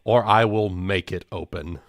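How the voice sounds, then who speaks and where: A man's voice: low voice, measured pace. A man chatting casually as a player in a tabletop role-playing game.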